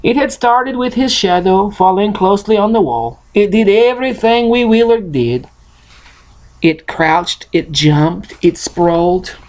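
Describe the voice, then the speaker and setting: Russian-accented man reading slowly, with swooping intonation. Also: sits high, expressive, a little mellow, rough recording. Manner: non-native grammar, halting, playful delivery. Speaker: host reading a picture book aloud. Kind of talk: storybook reading and casual chat to camera.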